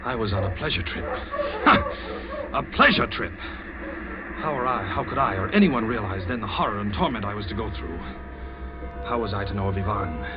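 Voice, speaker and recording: An actor playing a haunted, terrified American shipwreck survivor in urgent, theatrical 1940s radio style. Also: male voice, on the low side, lively intonation, a little fast, lightly acted. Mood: desperate. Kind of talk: 1940s radio acting, first-person dramatic narration. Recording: muffled old radio recording, loud music underneath.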